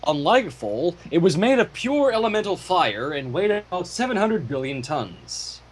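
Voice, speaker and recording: A man's voice: sits high, big pitch swings, normal speed, a little hiss or hum. A man hosting a fan fiction reading, delivering the story with irreverent, profane asides. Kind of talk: story reading with casual commentary.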